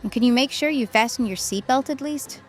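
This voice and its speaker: A woman plays a cautious young friend in a horror audio drama. Her voice sits a little high and sounds disapproving and pleading. Some music runs underneath. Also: fairly quick, close to an everyday voice. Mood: worried. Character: worried, sensible young woman, friend of daredevil.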